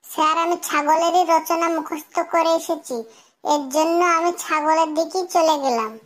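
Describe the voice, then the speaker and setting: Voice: very high voice, fairly even intonation, normal speed, fairly bright tone. A performer voicing an earnest cartoon schoolboy who speaks like a pupil reciting memorized lessons. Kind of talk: cartoon comedy dialogue.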